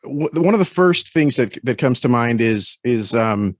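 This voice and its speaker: Guy guest chatting thoughtfully, kinda halting, mid pitch, really dry recording. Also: lively intonation, dry recording. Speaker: interview guest. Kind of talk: thoughtful casual conversation.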